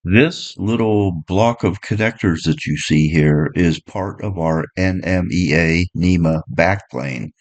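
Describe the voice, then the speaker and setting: Deep voiced guy doing a plain how-to on his boat gear, goes step by step. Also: takes it slowly, hardly any pauses, no room sound, muffled recording. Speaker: sailboat owner explaining his boat electronics. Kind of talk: practical how-to narration, partly read, partly off the cuff.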